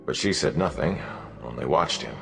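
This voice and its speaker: A man narrating a fantasy audiobook in an expressive, measured storytelling manner. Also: extremely low pitch, gravelly, in a large hall, faint music behind.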